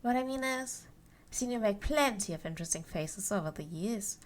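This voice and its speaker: A woman playing a cheerful, possessive yandere elf in an ASMR roleplay, speaking to the listener in a coy, playful way with drawn-out phrases. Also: medium pitch, lively intonation, lightly acted. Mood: coy, menacing.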